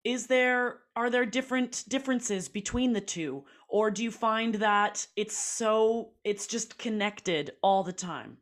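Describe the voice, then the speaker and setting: A woman interviewer who asks probing personal questions in an unhurried, drawn-out way. Her voice sits somewhat high for a woman, and she takes her time over each phrase.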